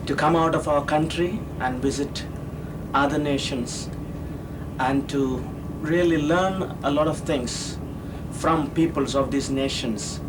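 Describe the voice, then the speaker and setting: Slow man, distant, over music. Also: a little high, background noise. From India. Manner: slow and deliberate.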